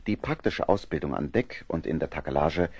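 Deep, husky male narrator. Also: animated, brisk, darkish tone, no room sound. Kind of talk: scripted radio play narration.

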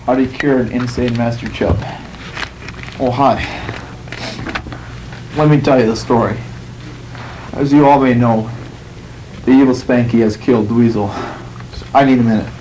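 A man's voice: mid-range voice, steady tone, moderate pace, pauses often, background noise. A young man hosting a comic home-video skit, overacting melodramatic worry, taped on a camcorder. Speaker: host and comic actor.

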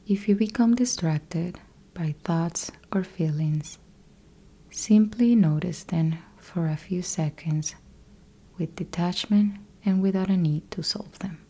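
A woman leads a guided meditation in a mellow, breathy voice with a husky edge. She speaks very slowly and gently, in calm, measured phrases with long pauses between them. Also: on the low side. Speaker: yoga nidra meditation guide. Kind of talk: guided meditation, slow instructional.

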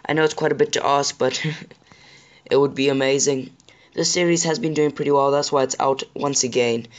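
A man with a sore throat hosts a gaming channel, talking over his play at a middle pitch. His voice sounds a bit rough, and he chats casually and apologetically to his viewers. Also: steady tone, moderate pace. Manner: chatty, apologetic, addresses viewers directly. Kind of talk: casual gameplay commentary.

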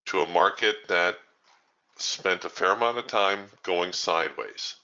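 A man hosting a trading training room, thinking aloud in a relaxed way as he walks through live trades. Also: low voice, measured pace, dull audio. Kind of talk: live trading commentary over screen share.

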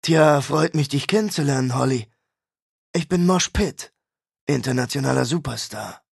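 A man reading a fiction audiobook, voicing an angry, vain male rock star who is loud and swears. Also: medium pitch, normal speed, gravelly, breathy edge, dry recording, clean studio sound. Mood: smarmy. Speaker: audiobook character.

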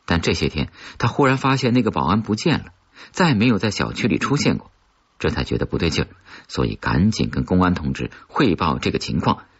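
Very deep-voiced man narrating suspense. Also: breathy edge, muffled recording. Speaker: audiobook narrator. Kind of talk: scripted narration of a horror-suspense novel.

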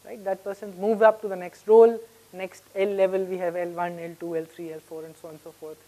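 A man's voice: sits high, level tone, brisk, mellow, low-quality recording. Candid middle-aged Indian-accented man. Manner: candid, conversational, frequent "right?" tags. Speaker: conference keynote speaker. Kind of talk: informal audience question-and-answer. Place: conference hall stage, indoors.

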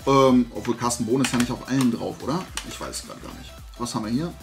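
A man's voice: mid-range voice, quick pace, vocal fry, faint music behind. A man chats casually to camera as a vlog host, blunt, opinionated and colloquial. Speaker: host of a collecting haul vlog. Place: small indoor room lined with collectibles.

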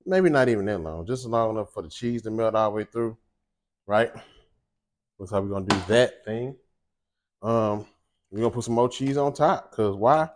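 A man in his forties hosting a casual home cooking show from his kitchen, talking straight to viewers in an animated, chatty way. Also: fairly low pitch, moderate pace, long pauses, slight background noise. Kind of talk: casual cooking chat and monologue.

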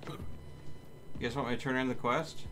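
Animated man in gaming chat. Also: mid-range voice, measured pace, noisy background. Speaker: gamer in a co-op group.

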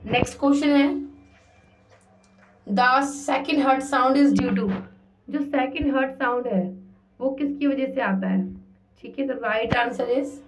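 A woman tutoring for a nursing exam, reading each question slowly and then explaining the answer in mixed Hindi and English. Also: sits high, background noise. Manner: mixes Hindi and English, repeats "ठीक है". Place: voice-over on screen recording.

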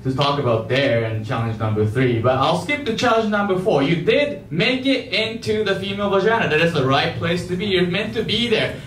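A young man from Japan performs stand-up with a high voice. He delivers his set emphatically but haltingly, repeating phrases. The handheld stage mic sounds echoey and distant. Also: fairly bright tone, a little hiss or hum. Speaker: amateur stand-up comedian. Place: theater stage before a live audience. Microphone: handheld wired microphone.

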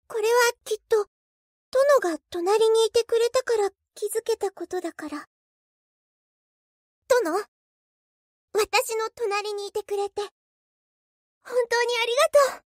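A woman's voice: very high voice, slow pace, pauses often, no echo, clean studio sound. A woman playing a cheerful, proud, self-confident young idol in an anime game, with a perky, theatrical, girlish delivery. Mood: tender, grateful. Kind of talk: anime game character voice lines.

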